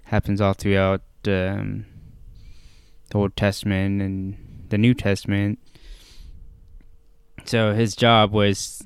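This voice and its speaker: Man, deep clear voice, slow hesitant talk, lots of uhs, dry close sound. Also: monotone, no room sound. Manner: slow, hesitant, many fillers. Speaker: podcast co-host. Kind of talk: casual scripture discussion.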